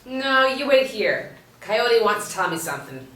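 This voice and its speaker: A woman's voice: a little high, sing-song, moderate pace, sharp tone. Wry woman cop, read by an actress, echoey room. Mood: quiet, focused. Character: wry, seasoned female police officer and desert tracker. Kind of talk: screenplay table read. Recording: roomy, echoey, distant mic.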